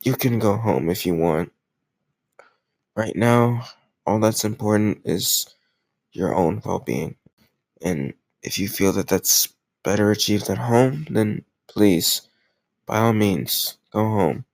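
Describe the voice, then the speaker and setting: Sweet obsessive kidnapper male actor, medium-pitched with gravelly fry, dry close sound; earnest, awkwardly doting, reassuring, for intimate audio roleplay. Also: slow pace, pauses often, darkish tone, no room sound, exaggerated voice. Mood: gentle, reassuring. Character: sweet, lovesick, obsessive male kidnapper comforting a captive crush.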